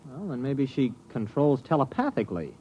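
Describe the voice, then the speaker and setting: An actor playing a breezy, confident surveyor in a radio drama, speaking in a brisk, informal way. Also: male voice, medium pitch, very animated, slight background noise, lightly acted. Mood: puzzled. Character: breezy, confident male surveyor, the narrator's business partner. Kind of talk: scripted radio drama acting. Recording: muffled old radio drama recording.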